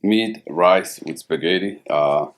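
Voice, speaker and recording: A man in his fifties from Somaliland, native Somali speaker with a Somali accent, answering interview questions in halting English with lots of short agreeing replies. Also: low voice. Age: 50s. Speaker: podcast guest. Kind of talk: casual interview conversation.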